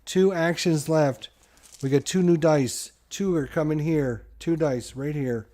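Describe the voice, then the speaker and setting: A man hosting a solo board game playthrough, giving excited play-by-play to his viewers like a sports announcer. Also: a little high, unhurried. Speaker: board game channel host.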